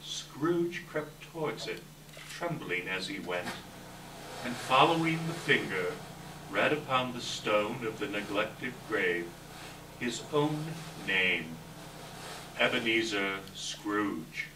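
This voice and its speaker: A man narrating a scripted story dramatically, with measured, expressive delivery. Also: fairly low pitch. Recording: distant echoey hall, clear background noise.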